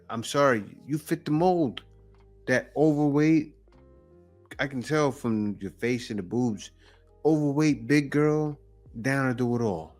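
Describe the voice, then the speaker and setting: A man hosting a reaction video, giving blunt, mocking, teasing commentary in a casual way. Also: mid-range voice.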